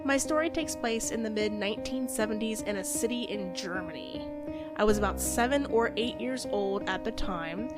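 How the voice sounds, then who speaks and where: A woman's voice: mid-range voice, normal speed, loud music behind the voice. A woman co-hosting a podcast, joking with friends and then reading out a story. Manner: laughing, playful, reads stories aloud. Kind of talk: casual chat and story reading.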